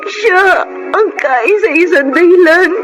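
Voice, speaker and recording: Desperate young Filipino mother, radio drama, high voice, remorseful, heavy music underneath. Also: takes it slowly, low-quality recording. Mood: anguished, sobbing. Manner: pleading, tearful. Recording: loud dramatic music behind.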